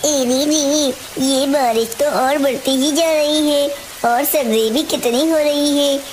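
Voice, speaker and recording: A woman voicing a caring, hardworking mother bird in a cartoon story, with exclamatory, expressive delivery. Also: sits high, slow pace. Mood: worried. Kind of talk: Hindi cartoon story dialogue. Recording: hissy, clearly noisy background.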